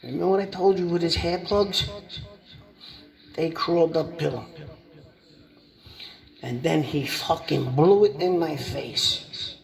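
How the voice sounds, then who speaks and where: Brash, profane Italian-American loudmouth played by a man, middle-aged, slightly high, drawn-out delivery. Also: creaky, low-quality recording. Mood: ranting. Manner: profane, rambling, boastful. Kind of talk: comic rant monologue.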